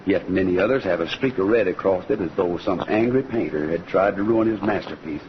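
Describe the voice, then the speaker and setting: A man's voice: deep voice, a little fast, slight background noise, close to an everyday voice. A man playing a kindly, reflective singing cowboy in an old-time radio western, with a folksy, poetic way of talking. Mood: reverent, reflective. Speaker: lead actor and singing cowboy. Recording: muffled old radio recording with music.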